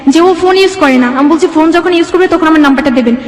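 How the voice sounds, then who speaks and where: A woman's voice: high-pitched, very fast. A young woman from Bangladesh telling her life story as an interview guest, rambling and quoting dialogue as she goes, in a reverberant room. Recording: echoey hall-like reverb.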